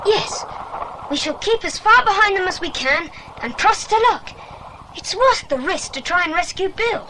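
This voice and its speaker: A woman's voice: very high voice, expressive, normal speed. A woman voicing a plucky, decisive boy who leads the others in a children's audio adventure drama. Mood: determined. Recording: very noisy older dramatised recording.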